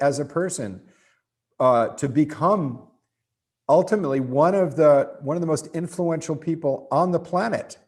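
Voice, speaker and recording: A man hosting an interview, introducing his guest in a measured, earnest way with some restarts. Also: mid-range voice. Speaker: host.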